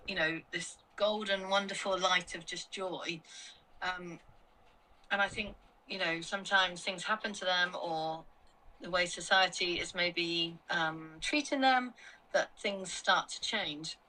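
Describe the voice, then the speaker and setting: A woman's voice: lowish voice, bright, forceful tone, recorded from a distance, a little hiss or hum, rough recording. A middle-aged woman answering as an interview guest, reflective and talking with many "I think" and "you know" asides. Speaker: guest, founder of a children's mental health organisation.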